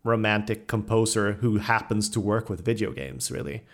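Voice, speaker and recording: A man's voice: on the low side, flat delivery, normal speed, creaky. Man hosting a casual, eager interview. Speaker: podcast host interviewing a composer. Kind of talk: casual enthusiastic interview chat.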